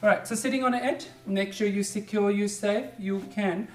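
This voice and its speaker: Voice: mid-range voice, fairly even intonation, moderate pace. Indian-accented yoga teacher in their forties. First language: Hindi or Punjabi. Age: 40s. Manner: gentle, encouraging, keeps saying "good", simple repeated cues. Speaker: yoga instructor. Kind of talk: guided yoga class with pep talk. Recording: echoey room, distant mic, faint music.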